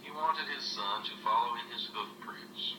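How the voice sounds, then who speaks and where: A man's voice: mid-range voice, normal speed, noisy background. An older man reading a children's storybook aloud as a grandfather would to a child. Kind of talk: storybook narration read for a child. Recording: roomy, distant home recording with music. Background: music.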